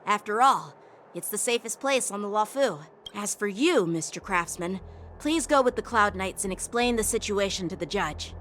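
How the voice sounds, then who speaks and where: A woman voicing a brisk, authoritative young woman official in a game, speaking firmly. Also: medium pitch, lively intonation, fairly bright tone, slight background noise, lightly acted. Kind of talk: game voice acting. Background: game music.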